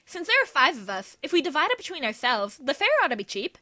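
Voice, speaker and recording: A woman's voice: high voice, very animated, fast, pushed and bright, heavily acted. A woman playing a bossy, opinionated schoolgirl club leader in an anime-style audio drama, speaking assertively. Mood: decisive, eager. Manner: assertive, declarative. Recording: music behind the voice.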